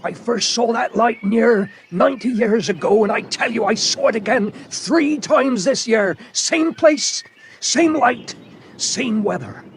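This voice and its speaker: A man's voice: very high voice, a little slow. An actor playing a very old, solemn shepherd in an audio drama, telling his tale with dramatic emphasis. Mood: grave, mysterious. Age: 90s. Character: very old Cornish shepherd, solemn, haunted storyteller. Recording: noisy cassette tape transfer.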